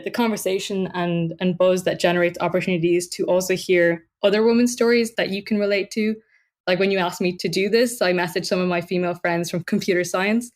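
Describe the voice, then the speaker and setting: A woman with a slightly low voice answers interview questions. She speaks earnestly and conversationally, thinking through her points as she goes. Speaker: podcast interviewee, tech worker.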